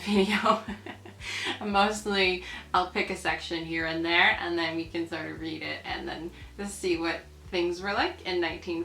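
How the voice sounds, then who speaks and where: A woman's voice: fairly low pitch, pushed and bright. A woman hosting a casual video, chatting and marvelling as she reads aloud from old printed material. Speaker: video host. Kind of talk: casual chat while reading aloud from old newspapers. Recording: roomy echo, faint music behind.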